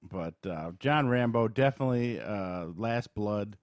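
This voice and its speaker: A man's voice: on the low side, expressive, measured pace, no echo, clean studio sound. A man co-hosting a podcast, reviewing in a casual, informal way and thinking aloud as he goes. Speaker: podcast co-host. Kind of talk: casual chat, movie review.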